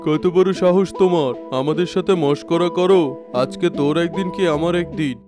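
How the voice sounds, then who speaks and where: A man's voice: fairly high pitch, steady tone, moderate pace, music in the background, lightly acted. A man voicing an imperious, greedy village landlord in a cartoon, with a bossy manner. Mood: outraged. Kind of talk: cartoon dubbing.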